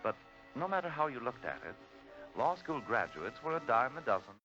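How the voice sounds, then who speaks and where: An actor playing a crime-story narrator with theatrical, deliberate 1940s radio delivery. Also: male voice, medium pitch, sing-song, natural voice. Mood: dramatic. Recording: muffled 1940s radio recording. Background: music.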